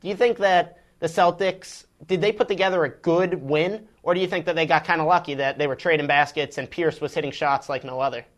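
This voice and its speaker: A man's voice: fairly high pitch, steady tone, a little fast, few pauses. A young man co-hosting a sports talk show, earnestly setting up long questions. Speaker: co-host. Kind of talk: young interviewer asking questions.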